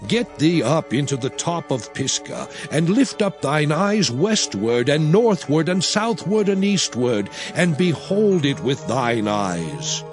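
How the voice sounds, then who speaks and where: Commanding biblical lawgiver, higher-pitched male voice, sweeping intonation, solemn oratory, dramatized scripture. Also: sing-song. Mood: grave, commanding. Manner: solemn, oratorical, measured, emphatic. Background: music.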